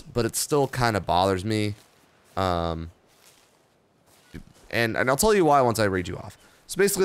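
Hoarse, gravelly-voiced tired young man. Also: medium pitch. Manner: rambling, offhand, reading patch notes aloud with asides. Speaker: gaming video host. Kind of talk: casual gameplay commentary.

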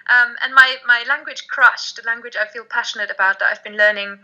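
Bright-voiced woman on a headset. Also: on the high side, a little fast. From Germany. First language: German. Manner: lively, emphatic, laughs often. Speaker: guest, language blogger and podcaster. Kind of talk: casual video call chat. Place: home room, indoors. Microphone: headset on video call. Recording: dull lo-fi video call audio.

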